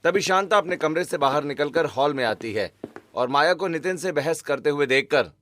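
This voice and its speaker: A man narrating a cartoon family story in a steady, explanatory way. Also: fairly high pitch, normal speed.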